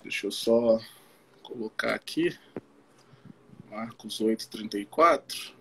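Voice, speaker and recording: A man with a husky voice, speaking informally as a missionary pastor in a halting way, often checking in with his listener. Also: on the low side, slight background noise. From Belo Horizonte, Brazil. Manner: halting, frequent tag questions to the listener. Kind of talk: informal testimony and Bible teaching. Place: live social media video call.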